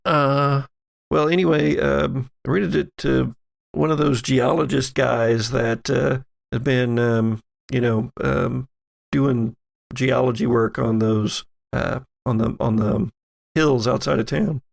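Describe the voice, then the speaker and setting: A man's voice: medium pitch, fairly even intonation, slow, vocal fry. A man voicing an awkward, nervous female doppelganger in a tabletop game, hesitant and stammering. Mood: evasive, nervous. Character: awkward nervous female doppelganger made of slosh, theater keeper.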